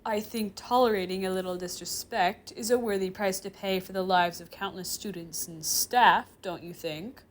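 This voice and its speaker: A woman's voice: mid-range voice, fairly even intonation, moderate pace, few pauses, natural voice. A woman voicing an old male demon chairman, a doting, easygoing grandfather figure in a story. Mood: solemn. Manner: easygoing, playful, commanding when pushed.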